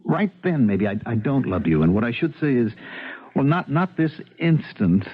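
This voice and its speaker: Devoted, overworked husband, 1970s radio drama: husky, hesitant, reassuring, very animated pitch. Dull broadcast sound. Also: male voice, moderate pace. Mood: earnest, confessional. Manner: hesitant, earnest, stammering, repeating himself.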